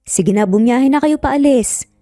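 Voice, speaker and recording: A woman's voice: sits high, unhurried, mellow, no echo, clean studio sound, soft background music. A woman voicing a mischievous, easygoing young man with a playful, joking manner. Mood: amused. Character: mischievous, easygoing male cousin, young adult.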